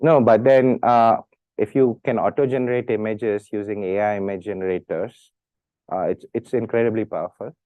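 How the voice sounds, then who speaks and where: Man, halting speech, muffled audio. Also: fairly low pitch, fairly even intonation, dry recording. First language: Tamil. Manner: hesitant, many fillers and restarts. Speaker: speaker at an institute talk. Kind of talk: talk with audience questions.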